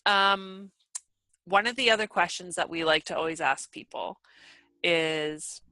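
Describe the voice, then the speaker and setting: A young woman hosts a podcast with a bright, forceful voice, chattering animatedly and filling her talk with "like". The sound is dry and close. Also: lowish voice, unhurried, no room sound. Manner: animated, lots of "like", swears. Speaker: podcast host. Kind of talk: casual chat.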